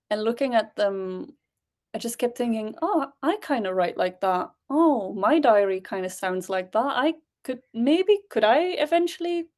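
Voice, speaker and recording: A woman's voice: fairly high pitch, a little mellow. Belgian-born woman poet, chatting. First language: Dutch. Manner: hesitant, with frequent ums and laughs. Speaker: spoken word poet guest. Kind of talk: casual interview chat.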